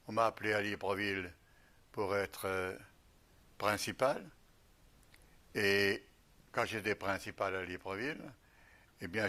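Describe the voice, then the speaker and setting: An older man from Alsace, a retired missionary bishop, recalling his life slowly with many pauses. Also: fairly low pitch, bright, forceful tone. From Alsace, France. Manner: slow, halting recollection with many pauses. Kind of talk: reminiscing interview.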